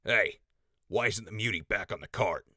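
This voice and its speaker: A man playing a gruff, bossy raider in an audio drama, barking orders and swearing. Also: lowish voice, moderate pace, bright, forceful tone, dry recording, studio quality. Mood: harsh, impatient. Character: gruff, bossy male raider crew leader. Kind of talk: post-apocalyptic audio drama acting.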